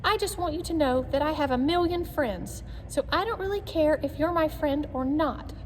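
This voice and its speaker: A small storybook hamster fellow, acted out by a woman, pitched high and squeaky. He is earnest and quick to take offense, speaking with emphasis in a very echoey, noisy room. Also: natural voice. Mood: indignant, huffy. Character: small male classroom hamster, earnest, easily huffy.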